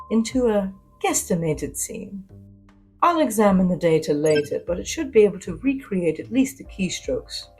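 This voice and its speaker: A woman's voice: on the low side, darkish tone, natural voice, low-quality recording. A woman playing an arch, teasing, imperious socialite spy in a science fiction audio story. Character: flirtatious, imperious socialite woman spy, sly and self-assured. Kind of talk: first-person sci-fi spy audio fiction.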